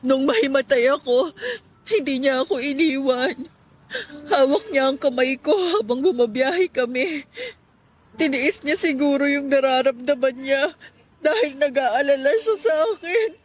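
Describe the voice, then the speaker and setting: A woman's voice: high voice, expressive, measured pace. An actress playing a grieving but steadfast Filipino wife in a radio drama, consoling her husband in a tearful, quavering voice. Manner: emotional, consoling delivery.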